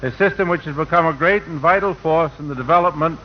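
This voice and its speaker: A man's voice: on the high side, fast. A man giving a formal prepared speech on an old 1940s radio broadcast. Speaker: World's Fair board chairman, guest speaker. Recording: muffled, faintly noisy old radio broadcast.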